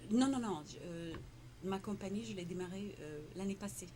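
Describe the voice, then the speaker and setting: A woman's voice: mid-range voice, moderate pace, dark tone. A woman whose first language is Tamil, who grew up in Morocco, answering interview questions in French with many fillers, restarts and frequent laughs. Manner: hesitant, many fillers and repeated words, laughs often. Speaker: interviewee, entrepreneur. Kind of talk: casual conversational interview. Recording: noisy background.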